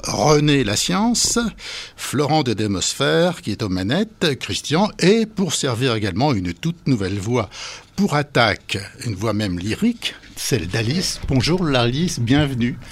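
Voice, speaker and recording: A man's voice: medium pitch, husky. A man hosting a community radio show, playful and jokey as he introduces guests.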